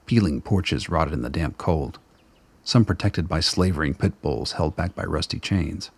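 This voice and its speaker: A man narrating a radio play in a measured, descriptive storytelling manner. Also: very deep voice, creaky, slightly rough. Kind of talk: scripted narration.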